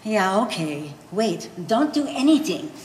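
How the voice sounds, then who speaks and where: A woman's voice: medium pitch, sing-song, a little slow, a little mellow. A woman playing a blunt eighty-year-old Polish immigrant mother who speaks broken English, in a staged play reading. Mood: matter-of-fact. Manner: broken English with dropped verbs and articles. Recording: echoey hall, faint background noise.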